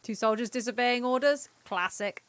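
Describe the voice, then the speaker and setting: A woman's voice: on the high side, normal speed, slight background noise. A woman giving casual, chatty livestream commentary on a video game, playful and given to sing-song exclamations. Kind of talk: casual gaming stream commentary. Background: faint music.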